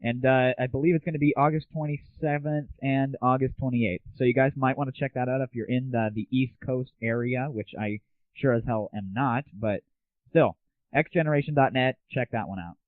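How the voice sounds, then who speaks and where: A man's voice: medium pitch, normal speed, no room sound. A man hosting a casual, blunt monologue show about gaming, talking informally with some mild swearing. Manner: informal, mildly profane, blunt. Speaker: show host. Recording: tinny narrow-band phone-like audio.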